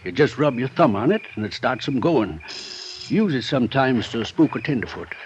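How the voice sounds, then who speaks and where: Actor as a shrewd frontier cowhand, drawl, lively, 1950s radio play. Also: male voice, medium pitch, natural voice. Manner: slow folksy drawl. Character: drawling old western hand, folksy and shrewd. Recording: dull old radio transcription with hiss.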